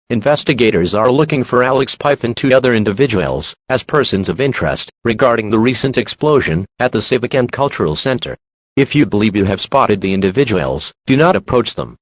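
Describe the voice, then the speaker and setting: A man's voice: mid-range voice, no room sound, natural voice. Man voicing a formal, dry-sounding emergency alert announcer. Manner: formal, impersonal. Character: male emergency alert announcer, impersonal and official. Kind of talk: scripted emergency alert reading.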